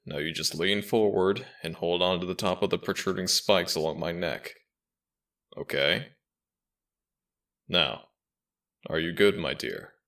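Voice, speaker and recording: Smitten dragon shifter prince played by a man for romantic audio drama: very low, slow delivery, tender and hesitant. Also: fairly bright tone, heavily acted. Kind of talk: ASMR romantic audio roleplay addressed to listener.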